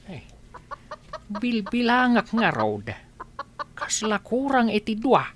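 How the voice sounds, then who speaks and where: An elderly Ilocano father acted by a woman in a village radio comedy, with a very lively, swinging delivery. He exclaims and admonishes with concern, over faint background noise. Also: a little high, slow pace. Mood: dismayed. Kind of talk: comedy radio drama acting.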